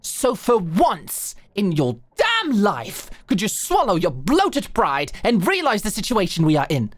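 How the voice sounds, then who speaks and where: A woman's voice: mid-range voice, huge pitch swings, a little slow, dry recording. A woman playing a prim young nobleman in an audio drama, scolding formally and emphatically with an exasperated air. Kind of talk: dramatic audio roleplay acting.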